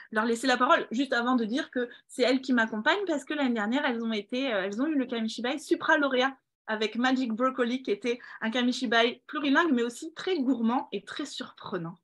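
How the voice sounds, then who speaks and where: A woman presents an award ceremony over a video call, addressing children and teachers. Her fairly high voice is encouraging, with many small hesitations. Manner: warm, encouraging, with fillers. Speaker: ceremony host.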